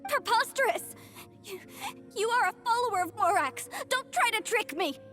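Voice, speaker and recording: A woman's voice: extremely high pitch, animated, moderate pace, a bit bright, soft background music. A woman voicing a fervent, defiant young believer who speaks earnestly and emotionally in a video game. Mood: outraged.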